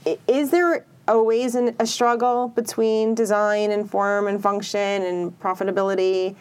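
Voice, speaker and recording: A woman's voice: medium pitch, steady tone, unhurried, smooth. A woman interviewing a guest, asking curious, chatty questions.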